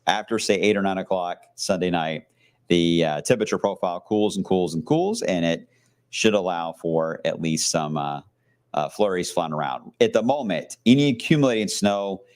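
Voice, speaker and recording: A man with a deep, low voice talks through a live weather forecast from a shopping outlet. His delivery is loose and conversational, full of fillers and casual asides rather than a polished script. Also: animated, moderate pace, darkish tone. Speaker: TV meteorologist.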